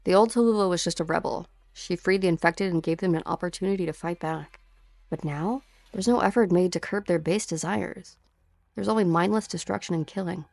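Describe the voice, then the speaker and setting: Woman acting a creaky-voiced, earnest young mercenary. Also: lowish voice, brisk, darkish tone, no room sound. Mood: insistent. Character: earnest, urgent, defiant young female mercenary. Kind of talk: fan-dub game drama acting. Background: music.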